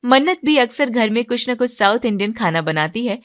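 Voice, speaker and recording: A woman's voice: on the high side, lively intonation, brisk. A woman narrating a scripted moral story as a storyteller.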